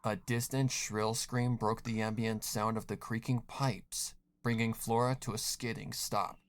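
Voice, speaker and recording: A man's voice: on the low side, little pitch movement, measured pace. A man reading scripted third-person horror fiction as the narrator.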